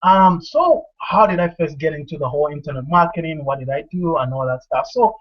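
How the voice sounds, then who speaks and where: A man with a Zambian accent hosts a group video call, his voice sitting fairly high for a man. He talks in an upbeat, motivational way and often repeats himself. The sound is dull and lo-fi, as from a computer mic. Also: lively intonation, fairly quick. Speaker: host. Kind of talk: casual online group call.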